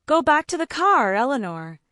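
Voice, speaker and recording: A woman's voice: high-pitched, moderate pace, no echo, studio quality, pitched above the performer's own voice. Brooding, closed-off male writer, read by a woman. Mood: deadened. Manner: flat, dead, controlled. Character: brooding male literary genius, closed-off and grieving.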